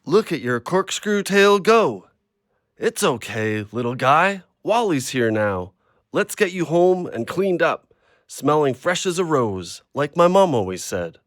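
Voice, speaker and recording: An actor playing a troubled, lonely man, gruff yet tender, in an audio play. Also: fairly high pitch, sing-song, measured pace, a bit bright, no room sound, studio quality. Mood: gentle, soothing. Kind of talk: audio drama acting.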